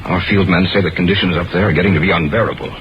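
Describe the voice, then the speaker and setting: An actor playing a troubled, earnest research biologist with a weighty, emphatic delivery in a 1950s radio drama. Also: male voice, sits low, fairly even intonation, very fast, lightly acted. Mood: worried, foreboding. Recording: muffled, noisy old radio recording.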